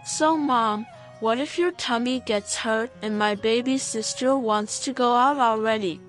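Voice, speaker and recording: A woman's voice: fairly high pitch, a little slow, faint music behind, natural voice. A woman voicing an eager, helpful young boy in a children's story, with an earnest, childlike manner.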